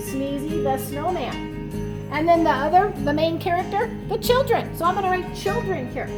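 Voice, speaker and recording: A woman teacher giving a children's read-aloud and lesson, expressive and instructional, often asking questions. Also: high voice. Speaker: teacher and storyteller.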